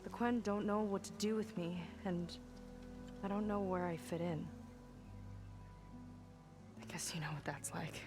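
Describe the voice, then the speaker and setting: An actress playing a young, wry, plainspoken and loyal sailor in a video game cutscene, with a natural delivery. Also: female voice, fairly low pitch, unhurried, long pauses, over music, close to an everyday voice. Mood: reflective, uncertain.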